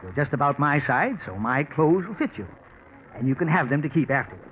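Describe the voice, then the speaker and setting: A man's voice: on the high side, sing-song, a little fast. A man playing a well-off, scheming businessman in a 1940s radio mystery, genteel and coaxing with a nervous edge. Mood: coaxing, evasive. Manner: genteel, coaxing, repeats words. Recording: scratchy, narrow-band 1940s radio recording.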